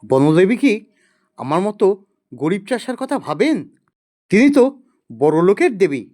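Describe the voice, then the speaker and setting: Man acting high-pitched, plaintive poor village farmer. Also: big pitch swings, a little slow, a little mellow. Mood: doubtful. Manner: plaintive, exclaiming, earnest. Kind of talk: animated fairy tale acting.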